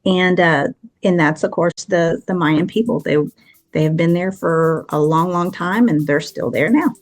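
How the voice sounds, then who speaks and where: A woman's voice: lowish voice, measured pace, soft background music. A woman in her fifties from Texas with a thick Texan accent, chatting earnestly at length as a guest in an interview. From Texas, USA. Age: 50s. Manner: earnest and chatty, long rambling answers. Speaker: guest author. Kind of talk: casual interview chat.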